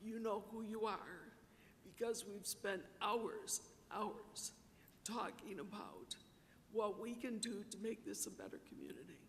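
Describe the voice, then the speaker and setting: A woman giving an earnest, reflective award acceptance speech at a banquet, in what she calls her low voice. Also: unhurried, vocal fry. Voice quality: self-described low voice. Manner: reflective, earnest, with repeated words for emphasis. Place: large banquet hall, in front of a dinner audience. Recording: reverberant hall, distant mic, faint rumble.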